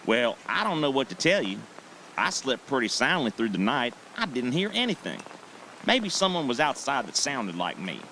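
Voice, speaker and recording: Bright, swooping, chatty innkeeper Harvey acted by a man. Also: medium pitch, very animated, normal speed, slight background noise, poor audio. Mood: defensive, dismissive. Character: chatty, evasive male bed-and-breakfast host named Harvey. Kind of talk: audio drama acting.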